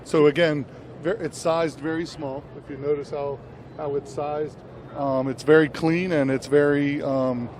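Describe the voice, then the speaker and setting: A man's voice: fairly high pitch, fairly even intonation, a little slow, darkish tone. A middle-aged man giving explanatory product talk as a company representative, into an interviewer's handheld microphone. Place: large busy trade show hall, indoors. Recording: noisy exhibition hall behind voice.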